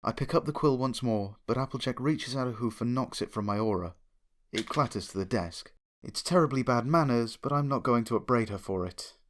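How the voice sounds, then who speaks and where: A man with a mid-pitched voice narrates a piece of fiction. He reads from a script in a dramatic storytelling style. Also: fairly quick. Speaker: fan fiction reader, narrator.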